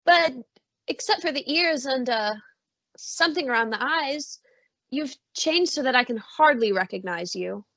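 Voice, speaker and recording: A woman acting a doting, fussing mother in an old-time radio suspense play, with a gently chiding way of talking. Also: high-pitched, expressive, unhurried, dry recording, heavily acted. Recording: slightly dull, muffled audio.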